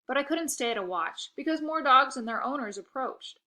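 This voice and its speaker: Animated, bright-voiced woman reading a storybook. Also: high voice, moderate pace. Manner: expressive, occasional stumbles over words. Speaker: storybook reader. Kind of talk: animated children's book narration.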